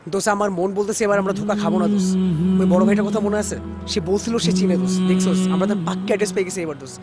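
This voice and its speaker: An actor playing an excitable, hopeful young man in a TV drama, with a Bangladeshi accent and an eager, slangy, repetitive way of talking. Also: high voice, rapid-fire, loud music behind the voice, close to an everyday voice. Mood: eager, excited. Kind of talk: natural TV drama dialogue.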